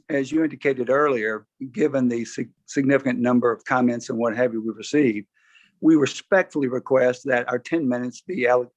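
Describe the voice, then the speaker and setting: A hoarse man presenting plans to a review board on a video call, often clearing his throat and restarting his sentences. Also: medium pitch, muffled recording. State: hoarse from allergies. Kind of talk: formal presentation at a public meeting.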